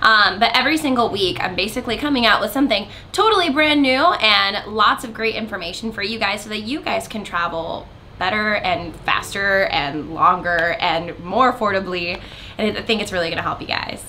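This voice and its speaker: Bright chatty young woman vlogger. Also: medium pitch, fairly quick, a little hiss or hum. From United States. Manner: upbeat, chatty, addresses viewers as guys. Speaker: travel vlogger. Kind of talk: casual vlog chat.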